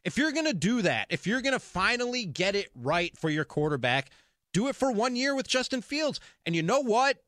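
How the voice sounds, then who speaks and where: A male sports radio host with a high, forceful voice. He argues his opinions insistently and repeats phrases to drive them home. The sound is close and dry, as in a broadcast studio. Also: very animated, moderate pace, no echo. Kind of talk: opinionated sports talk monologue. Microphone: close broadcast studio mic.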